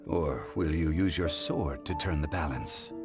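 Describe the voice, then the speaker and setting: A man playing a composed, erudite gentleman in a video game, speaking formally, thoughtfully and deliberately. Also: extremely low pitch, over loud music. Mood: grave counsel. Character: composed, erudite gentleman consultant, grave and measured. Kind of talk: video game character acting.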